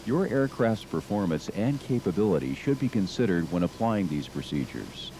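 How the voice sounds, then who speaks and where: A middle-aged American man narrating a training film in a measured, formal, instructional manner. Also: sits low, big pitch swings, warm tone, a little hiss or hum, rough recording. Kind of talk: scripted documentary narration. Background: music under the narration.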